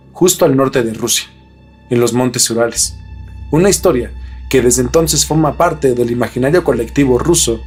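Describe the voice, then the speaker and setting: A man hosts a podcast, reading scripted mystery narration with dramatic, deliberate phrasing. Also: medium pitch, darkish tone, breathy edge. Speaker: podcast host.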